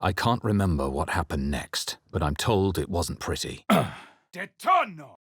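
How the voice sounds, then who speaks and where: A brooding fantasy game hero with a deep voice and a husky edge. He is grave and wry, speaking in measured, theatrical phrases that move widely in pitch. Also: huge pitch swings, a bit bright, dry recording, studio quality, full character voice. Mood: forceful incantation. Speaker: video game voice actor. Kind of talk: dramatic fantasy game narration and character barks.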